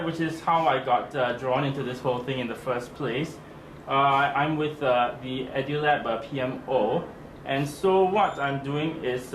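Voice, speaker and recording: A man of ordinary pitch explains his role on the team, pausing on fillers as he goes. The room rings with echo, and steady noise sits under his voice. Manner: hesitant, with many "uh" fillers. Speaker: team member in charge of the design of digital objects.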